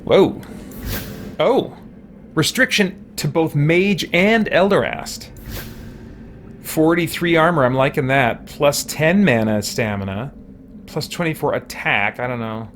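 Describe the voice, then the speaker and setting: A man giving casual let's-play commentary as a streamer, rambling and thinking out loud as he goes. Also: on the high side, expressive, slow, slight background noise. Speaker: livestream gamer and host.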